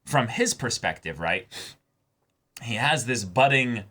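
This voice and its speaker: A man's voice: mid-range voice, animated, takes it slowly, sharp tone. A man co-hosting a reaction show, talking casually and emphatically, working through his points with digressions. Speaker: co-host of an anime reaction show. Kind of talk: casual discussion.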